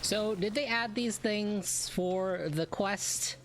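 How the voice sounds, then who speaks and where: A woman's voice: medium pitch, slow pace, slight background noise. A woman giving casual, playful gameplay commentary as a streamer, reacting informally to what she sees. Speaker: game streamer.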